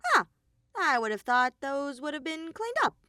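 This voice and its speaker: A woman's voice: high voice, sing-song, moderate pace, bright, forceful tone, no echo, clean studio sound, voice pushed up, full character voice. A woman voicing a mischievous, bossy male robot bear villain in an audiobook story, brash and snappy. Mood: casual, curious. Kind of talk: audiobook character voice.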